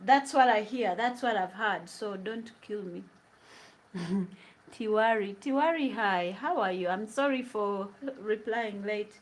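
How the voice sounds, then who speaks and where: A woman's voice: mid-range voice, measured pace. A young woman with a Ugandan accent, chatting casually and laughing often as she cooks at home and reads out viewers' questions. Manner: chatty, laughs often, drifts between English and Luganda. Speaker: vlogger. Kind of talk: casual chat while cooking, answering live comments. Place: home kitchen.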